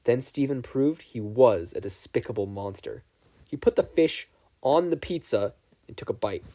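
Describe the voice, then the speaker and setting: Male narrator, scripted fiction reading, mellow tone, lively intonation, expressive. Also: medium pitch, normal speed. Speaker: podfic narrator.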